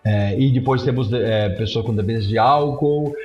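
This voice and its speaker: A man with a mid-range voice talks in an animated, restarting way, often ending points with a quick check to the listener. His sound is roomy and dull, with faint music behind him. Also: medium pitch. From São Paulo, Brazil. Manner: animated, frequent restarts and "tá?" tags. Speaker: guest, psychedelic clinic CEO. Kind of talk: conversational interview answers. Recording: roomy, dull remote audio.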